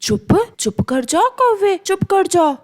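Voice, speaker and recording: A woman's voice: very high voice, very animated, takes it slowly, heavily acted. A woman voicing a menacing old female eagle in a children's cartoon, with a bullying, gloating manner. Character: menacing old female eagle, hungry predator turned pleading. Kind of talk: Hindi children's cartoon voice acting.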